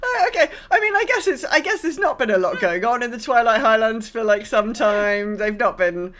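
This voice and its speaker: A woman's voice: a little high, expressive, moderate pace, a bit bright, slight background noise. A woman co-hosting a podcast in casual, conversational chat. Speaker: podcast host.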